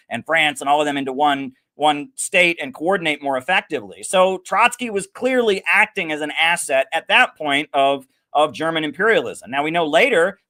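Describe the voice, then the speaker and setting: A man's voice: on the high side, bright, forceful tone. A man speaking as a podcast guest, giving emphatic off-the-cuff political commentary and repeating phrases as he explains.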